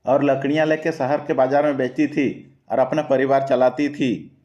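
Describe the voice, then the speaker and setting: A man's voice: medium pitch, steady tone, moderate pace, warm tone, dull audio. Man narrating a children's cartoon story.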